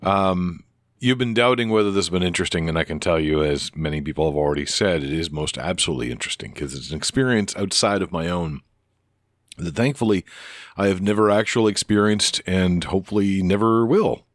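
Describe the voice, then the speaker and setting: A man with a deep voice talking casually into a close microphone on his podcast, rambling and hesitant with many restarts and fillers. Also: fairly quick, no echo. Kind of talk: casual spoken reply to another podcaster.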